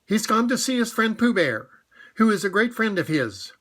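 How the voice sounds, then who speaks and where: A man putting on a fussy, careful storybook rabbit, pitched up above the teller's own voice and pushed louder. The rabbit sounds prim and particular, with a lively swing in his pitch. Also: moderate pace, full character voice. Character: fussy, cautious male rabbit.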